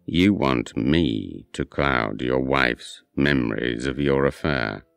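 An actor playing a measured, probing doctor in an audio horror drama, slow, formal and gravelly. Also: male voice, extremely low pitch, no room sound, faint music behind, exaggerated voice. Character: short round bearded doctor, measured, probing mind-control expert.

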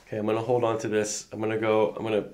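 A man's voice: fairly low pitch, completely flat delivery, rapid-fire, darkish tone. A middle-aged man working as a chiropractor, giving short, reassuring instructions to a patient during an exam. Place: small carpeted chiropractic office.

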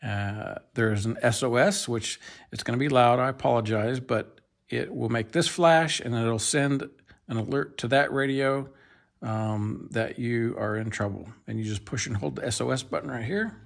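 A man reviews a product in an off-the-cuff, casual way. His voice has a gravelly fry to it. He talks plainly and haltingly, as if working through features at home in his kitchen. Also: medium pitch. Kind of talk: casual unscripted product demonstration.

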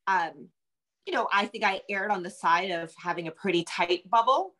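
A woman's voice: mid-range voice, normal speed, pushed and bright. A middle-aged woman speaking conversationally as an interview guest, a novelist talking from a room at home over a video call.

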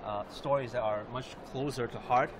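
A man presents live in a casual, conversational style. He often repeats words as he builds a sentence. Clear noise from a large busy exhibition hall runs behind him. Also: medium pitch, steady tone, normal speed. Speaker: live stream reporter and host. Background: busy trade fair ambience.

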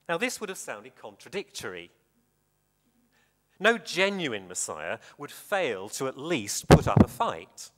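A man preaching a sermon in a measured, deliberate way with dramatic emphasis, his voice echoing in a hall. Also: on the high side, a bit bright. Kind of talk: sermon with scripture reading. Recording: reverberant hall, long echo.

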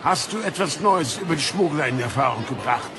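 A man's voice: fairly high pitch, animated, brisk, crowd noise, natural voice. A man playing a harsh, contemptuous army captain in an audio drama, domineering and scolding. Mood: scornful. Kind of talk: German audio drama acting. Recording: noisy outdoor ambience behind.

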